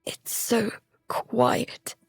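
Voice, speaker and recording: A woman playing a frightened, guilt-ridden daughter in an audio drama, narrating and speaking in an anxious, halting, hushed way. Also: no room sound, whispered. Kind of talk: audio drama, first-person present-tense narration and dialogue. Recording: music behind the voice.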